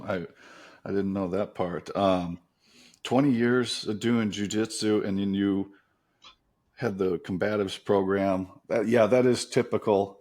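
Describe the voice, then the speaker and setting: Low-voiced male host asking questions. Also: a little mellow. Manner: halting, restarts his questions. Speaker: podcast host. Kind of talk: casual interviewing.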